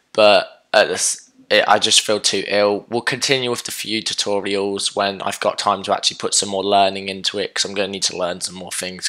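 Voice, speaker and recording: A man's voice: fairly low pitch, bright, forceful tone. A young man with a sore throat and a fading voice, chatting casually through a coding tutorial with lots of fillers and self-corrections. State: sick, sore throat, losing his voice.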